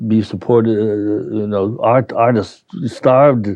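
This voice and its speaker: An older man with a Brooklyn accent reminiscing as an interviewee, halting and rambling as he recalls. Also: on the low side, fairly even intonation, a little mellow, dry recording. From New York, United States. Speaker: interviewee, retiree. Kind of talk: reminiscing in an oral history interview.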